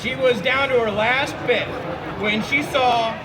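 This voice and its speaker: A man telling an improvised comic story to a live crowd, theatrical and halting, heckling his own tale with asides. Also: very high-pitched, fairly even intonation. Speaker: storyteller leading an audience word game. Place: busy public venue with a crowd. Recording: noisy crowded public space, loud background bustle.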